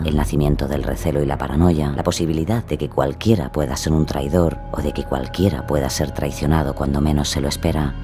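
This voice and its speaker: A man reading a scripted documentary introduction in a solemn, measured way. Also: extremely low pitch. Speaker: podcast narrator. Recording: music bed behind the voice.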